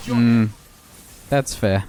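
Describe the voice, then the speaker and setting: A man's voice: medium pitch, extremely slow, mellow, a little hiss or hum. A man, a game streamer, chatting casually while he plays. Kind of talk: casual chat while gaming. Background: game music.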